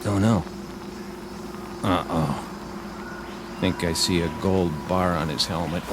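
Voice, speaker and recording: An American actor playing a sardonic, war-weary infantry soldier who wisecracks in a radio drama. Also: male voice, low-pitched, steady tone, takes it slowly, noisy background. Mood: teasing, watchful. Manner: drawling, sardonic.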